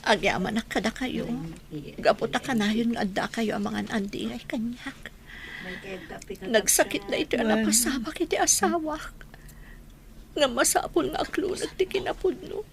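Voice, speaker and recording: A woman's voice: on the high side, normal speed, creaky. A woman with an Ilocano accent playing a tender, pleading, grieving wife in an emotional radio drama. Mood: grateful sorrow.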